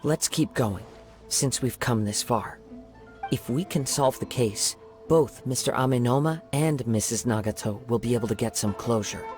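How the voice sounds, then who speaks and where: A man voicing a calm young wandering samurai in a video game, speaking in a measured, thoughtful way. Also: medium pitch, soft background music, close to an everyday voice. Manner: measured, thoughtful and soft-spoken. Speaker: investigating hero.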